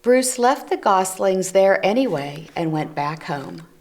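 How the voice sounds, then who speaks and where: A woman reading a picture book aloud to children, gently explaining the pictures as she goes. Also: mid-range voice, lively intonation, unhurried. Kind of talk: read-aloud storytelling for children. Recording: community TV recording.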